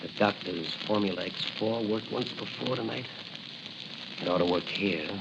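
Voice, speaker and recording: A man's voice: fairly low pitch, moderate pace, creaky. A man playing a bold, wry masked crime-fighter in 1940s radio acting style, confident and theatrical. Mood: intent, musing. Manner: confident, theatrical, talks to himself. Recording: scratchy, hissy, muffled 1940 radio recording.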